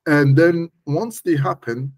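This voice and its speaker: A man's voice: a little high, slow. A man speaking as a guest expert, giving casual, emphatic, step-by-step technical explanations in conversation. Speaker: guest malware analysis expert.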